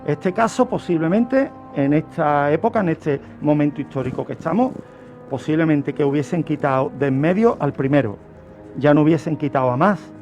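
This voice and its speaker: A man's voice: on the high side, normal speed, a little mellow, dull audio, soft background music. A man giving didactic, emphatic explanations in a radio discussion. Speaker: criminology commentator on a radio show.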